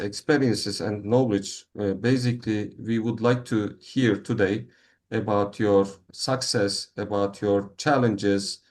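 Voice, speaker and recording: A man's voice: lowish voice, a little mellow. A man hosting an online meeting, speaking English as a with many fillers and restarts. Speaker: meeting host. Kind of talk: online meeting, casual moderating.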